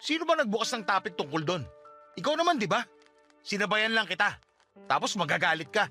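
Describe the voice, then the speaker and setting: Cold, impatient husband for radio drama; high, forceful voice, curt delivery. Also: male voice, animated, fairly quick, soft background music. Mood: irritated. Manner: curt, exasperated. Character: cold, irritated husband pushing to end his marriage. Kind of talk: radio drama dialogue in Tagalog.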